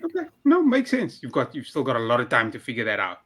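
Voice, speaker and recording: A young man hosting an interview podcast, running through an upbeat intro and then asking questions in a relaxed way. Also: mid-range voice, quick pace. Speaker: podcast host.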